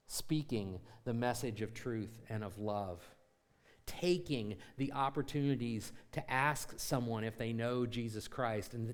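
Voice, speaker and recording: A man preaching a sermon as pastor of a church, moving from quiet reflection to rising, emphatic exhortation with repeated phrases and rhetorical questions to the congregation. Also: medium pitch. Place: church sanctuary.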